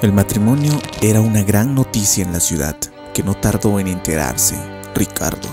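A male narrator tells a legend in a dramatic scripted style. His voice is deep and gravelly. Faint music accompanies him. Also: normal speed.

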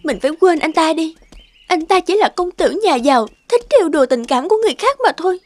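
Young lovestruck cartoon girlfriend, very high voice, emotional and hesitant, music underneath. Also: lively intonation, fast talker. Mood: hurt, anxious. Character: hurt, astonished young girlfriend in love. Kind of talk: animated drama dubbing.

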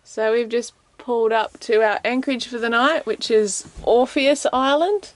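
Aussie woman in her 40s, relaxed, laughing vlog chatter on deck, wind noise faint behind. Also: on the high side, normal speed. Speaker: vlogger, sailing family mum. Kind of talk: casual travel vlog narration. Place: outdoors on a sailboat deck at sea. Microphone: handheld camera at arm's length.

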